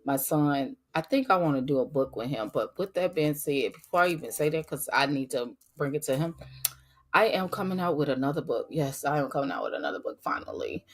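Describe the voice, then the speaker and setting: Podcast host, middle-aged woman, low voice, raspy from a cold, earnest and emphatic, casual faith talk. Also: lively intonation, brisk. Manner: earnest, emphatic, talks straight to listeners.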